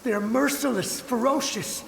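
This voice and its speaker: An actor voicing a fearful, devoted soldier's lingering memory in a video game, urgent and pleading. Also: male voice, very high voice, moderate pace, creaky, a bit bright, slight background noise, heavily acted. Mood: desperate, warning. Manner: urgent, pleading, breathless dread. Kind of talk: dramatic video game voice acting. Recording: echoing reverb with music behind.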